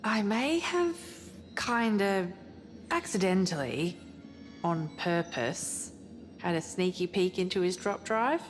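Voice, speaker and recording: An actress playing an earnest, suspicious scientist aboard a spaceship in a cinematic video game. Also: female voice, lowish voice, lively intonation, takes it slowly. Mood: suspicious, urgent. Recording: long reverberant hall, music behind.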